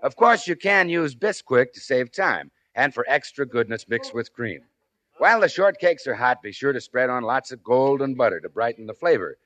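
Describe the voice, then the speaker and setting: A man's voice: medium pitch, big pitch swings, normal speed, a bit bright. A man working as announcer and sidekick in a scripted 1950s radio style.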